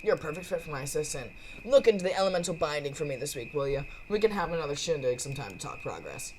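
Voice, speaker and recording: Woman playing a cocky, animated gym-loving potion maker. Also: low-pitched, fast talker, a little hiss or hum, voice pushed down. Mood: pleased, brash. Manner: brash, teasing, boastful. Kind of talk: visual novel character dialogue. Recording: faint music behind.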